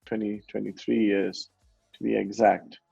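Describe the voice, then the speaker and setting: A man's voice: lowish voice, flat delivery, measured pace, vocal fry, warm tone, slightly rough, no echo, highs cut off, soft background music. A man in his forties from Sri Lanka, a guest in a conversational interview who talks in a rambling way, corrects himself and chuckles often. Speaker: guest, wealth management founder.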